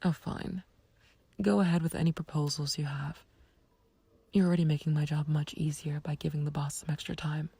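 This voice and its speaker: A woman playing a smug, taunting, playfully flirtatious villain in an ASMR roleplay, with a husky, breathy low voice and a teasing, unhurried, condescending manner. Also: flat delivery, mellow, dry recording, heavily acted. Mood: teasing, reluctant bargaining. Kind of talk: ASMR roleplay audio drama.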